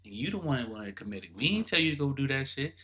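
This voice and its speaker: A man's voice: mid-range voice, sing-song, rapid-fire, pushed and bright. A man hosting a reaction video in casual, slangy, repetitive speech with an incredulous manner.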